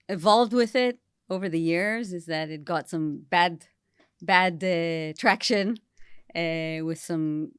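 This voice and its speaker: A woman hosting an interview podcast, enthusiastic and pausing often with fillers. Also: lowish voice, clear, resonant tone, no room sound, clean studio sound. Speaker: podcast host.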